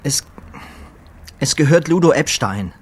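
An actor playing a solemn, haunted, stubborn religious zealot in a German radio drama, with a husky voice and halting, emphatic phrasing. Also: male voice, on the high side, expressive. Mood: subdued, guarded.

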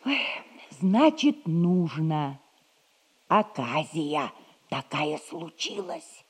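A woman's voice: deep voice, sing-song, extremely slow, slightly rough, full character voice. An actress playing a folksy, knowing servant woman, a bossy and wheedling go-between in a theatrical 1960s audio comedy. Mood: matter-of-fact, teasing.

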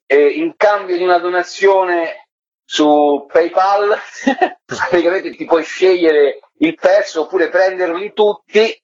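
Male interview guest, medium pitch, colloquial and rambling, lo-fi broadcast sound. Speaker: guest, stage storyteller. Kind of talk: casual spoken interview. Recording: dull, lo-fi radio audio.

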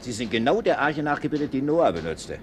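An actor playing a proud, courteous ark builder with formal, showy sales patter, in a noisy old 1950s German radio play recording. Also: male voice, mid-range voice, sing-song, brisk, soft background music, lightly acted. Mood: self-satisfied.

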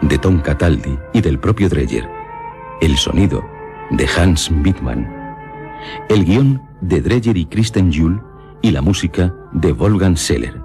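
A man reading scripted radio narration in a solemn, measured storytelling manner. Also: low voice, animated, dark tone. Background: music behind the voice.

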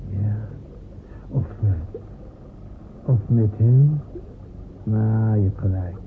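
Gruff, patient seaman confidant, low and unhurried, laconic, thin band-limited radio drama sound. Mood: gruff, probing. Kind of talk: Dutch radio play dialogue. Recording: tinny, noisy old radio play recording.

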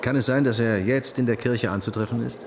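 An actor playing a sober police detective in a radio crime drama, speaking in a terse, matter-of-fact way. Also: male voice, medium pitch, fairly even intonation, a little fast, a little hiss or hum, close to an everyday voice. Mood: businesslike.

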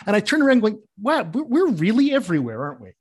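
A man's voice: high voice, huge pitch swings, fast talker, darkish tone, husky. A man from Canada hosting a casual video call interview, joking and rambling with many restarts. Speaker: podcast host.

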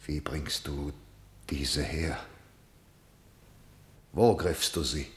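An actor playing an imperious, suspicious king in a verse tragedy radio play, with measured, commanding declamation. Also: rumbling low, fairly even intonation, echoey room, exaggerated voice. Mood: cold interrogation. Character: stern, threatening male king, imperious and suspicious.

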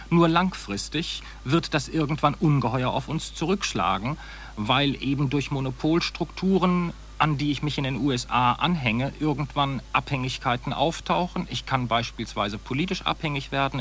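Academic guy being interviewed, middle pitch, wordy and emphatic, critical, noisy 80s tape. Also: fairly bright tone. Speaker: academic researcher, interview guest. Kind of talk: expert radio interview. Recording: noisy digitized 1980s cassette.

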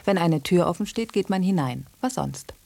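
An actress playing a sassy, vain, self-assured house cat in a children's radio play, with a pert, haughty manner. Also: female voice, on the low side, lively intonation, normal speed, gravelly, warm tone, dry recording. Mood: pert, smug.

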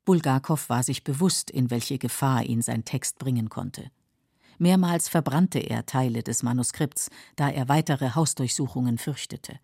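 A woman narrating a scripted radio documentary in a measured, sober manner. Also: rumbling low, gravelly, warm tone, slightly rough, no echo, studio quality. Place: dry studio.

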